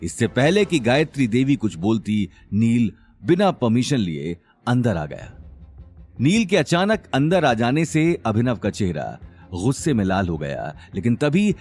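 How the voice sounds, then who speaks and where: उतार-चढ़ाव भरी आवाज़ वाला पुरुष कथावाचक. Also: male voice, mid-range voice, sing-song, measured pace, darkish tone, faint music behind. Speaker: narrator. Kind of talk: dramatic scripted story narration.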